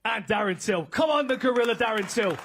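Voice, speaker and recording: A man's voice: sits high, fairly quick. A man hosting a press conference, energetically introducing the fighters on stage. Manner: energetic announcer. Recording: crowd and faint noise behind.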